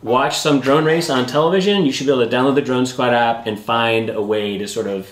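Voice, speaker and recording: A man's voice: medium pitch, fairly quick. A man in his forties giving a conversational, explanatory product interview as a guest, peppered with filler phrases like "you know.". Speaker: guest, drone app company representative. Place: indoor workshop with hard walls. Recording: roomy echo, clear background noise, clicks.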